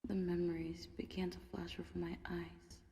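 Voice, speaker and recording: A woman reading a horror story in the first person as slow, dramatic narration. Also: on the low side, flat delivery, gravelly, dark tone. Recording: long reverb, faint noise.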